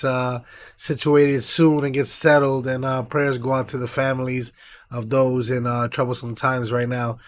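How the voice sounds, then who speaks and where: A young man hosting a casual sports stream, his voice stuffed-up and nasal from congestion. He pitches a bit high and rattles off scores and shout-outs between fillers. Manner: hype, shout-outs, stat rundown with fillers. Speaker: sports podcast host. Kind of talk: casual post-game sports talk stream.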